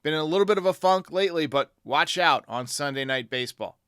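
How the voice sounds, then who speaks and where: Male sports podcast host, slightly high voice, bright, dry sound. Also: animated, fast talker, clear, resonant tone, no echo. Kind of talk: sports commentary answering fan voicemails.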